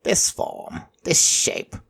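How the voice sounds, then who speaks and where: A man voicing an ancient, formless deity in raven form for audio fiction, solemn and measured, with formal archaic phrasing. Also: fairly bright tone. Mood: solemn, grave. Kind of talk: audio fiction character acting.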